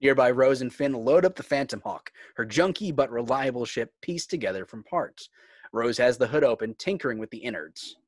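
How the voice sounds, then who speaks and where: A man narrating stage directions for a scripted fan table read in a measured, clipped way. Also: mid-range voice, expressive, dry recording.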